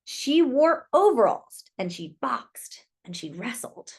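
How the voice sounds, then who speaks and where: A woman's voice: fairly high pitch, sing-song, takes it slowly, slightly rough. A woman reading a picture book aloud to young children, expressively and with little asides to her listeners.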